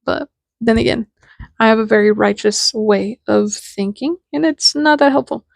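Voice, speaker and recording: Young woman, nasal voice, fairly high, casual rambling talk, dry close sound. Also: moderate pace, creaky, no echo. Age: 20s. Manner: candid, rambling, swears casually. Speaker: podcast host. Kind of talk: casual reflective monologue.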